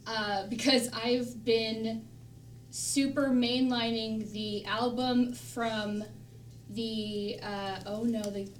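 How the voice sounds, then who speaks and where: A woman's voice: fairly even intonation, extremely slow. A woman chatting casually and informally. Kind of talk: casual chat. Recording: roomy, distant mic, faint rumbling noise.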